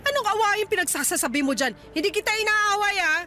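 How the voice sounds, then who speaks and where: A woman's voice: very high-pitched, animated, fast talker, sharp tone, slight background noise, exaggerated voice. A woman playing a scolding, blunt middle-aged mother in a Tagalog radio drama. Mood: defensive scolding. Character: nagging, sharp-tongued mother of a young married daughter.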